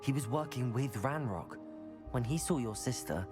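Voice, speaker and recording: An actor playing an earnest, steady young wizard student, speaking plainly in a video game. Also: male voice, medium pitch, moderate pace, lightly acted. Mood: grave, earnest. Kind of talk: dramatic dialogue, video game acting. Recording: reverberant hall, music behind.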